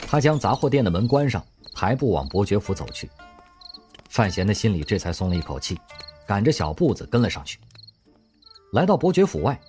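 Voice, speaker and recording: A man narrating an audio drama in an expressive storytelling manner. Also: on the low side.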